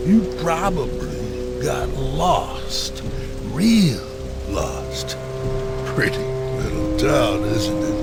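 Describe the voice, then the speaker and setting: A man acting as a weary, wry old blind pirate turned fishman in a video game, with a gravelly, breathy voice and drawn-out theatrical delivery. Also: medium pitch, full character voice. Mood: wary, sardonic.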